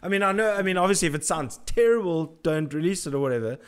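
A man's voice: a little high, lively intonation, fast, smooth. A man hosting a casual interview, joking and rambling in an informal way. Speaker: podcast host.

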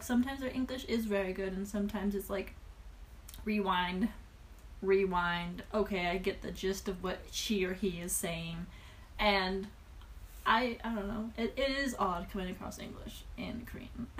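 A woman hosting a relaxed podcast in a mid-range voice. She rambles expressively and acts out her reactions, with a faint noise bed underneath. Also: mid-range voice. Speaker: podcast host. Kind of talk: casual chat.

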